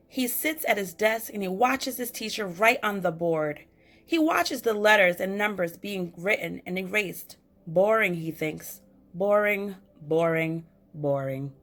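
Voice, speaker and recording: A woman voicing a chatty little girl who has shrunk, telling her own story straight to the reader in a lively storybook way. Her voice sounds a little worn, and her pitch sits in a middle range. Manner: lively storybook read-aloud. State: losing her voice.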